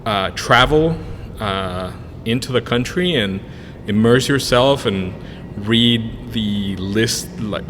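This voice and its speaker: A man's voice: lowish voice, a bit bright. A man in his thirties whose first language is Spanish, answering interview questions in a rambling way with many fillers and restarts. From Spanish-speaking country, moved to the US in 1999. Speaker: podcast guest, brewery co-owner. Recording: room with long reverb, faint noise.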